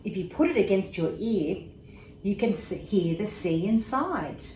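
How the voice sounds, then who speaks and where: A woman voicing a calm father in a children's storybook, explaining things in a gentle, matter-of-fact way. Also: medium pitch, measured pace. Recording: roomy echo with faint background noise.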